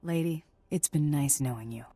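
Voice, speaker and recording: Low-voiced, sardonic female bounty hunter. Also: moderate pace, mellow, slightly rough, no room sound. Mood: cool, taunting. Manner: dry, sardonic. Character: tough, cool, wry female bounty hunter. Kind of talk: video game voice acting.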